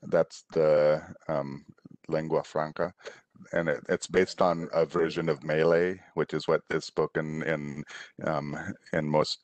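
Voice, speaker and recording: A middle-aged man from Indonesia, an author on a video call, answering children's questions in a relaxed, rambling way with many restarts. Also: rumbling low, takes it slowly, no echo, highs cut off. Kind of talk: casual conversational answers. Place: room at home, on a video call. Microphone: headset.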